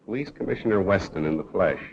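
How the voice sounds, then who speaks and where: A man's voice: deep voice, animated, fast, creaky. A man playing a suave, flippant amateur sleuth and newspaper columnist, bantering wryly in 1930s film style. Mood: breezy, assured. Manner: wry, bantering. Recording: dull, noisy 1930s film soundtrack.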